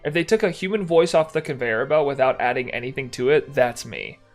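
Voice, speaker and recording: A man's voice: mid-range voice, expressive, fairly quick, soft background music. Rambling young man with a mild Texan accent. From Texas. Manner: rambling, self-correcting, chatty with chat. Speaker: streamer. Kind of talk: casual livestream chat.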